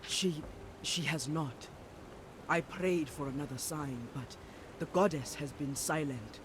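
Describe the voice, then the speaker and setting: Low, solemn, resolute priestess. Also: female voice. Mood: troubled, resolute. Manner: formal, solemn, deliberate. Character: earnest, resolute priestess leader of an island people. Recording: noisy ambient background.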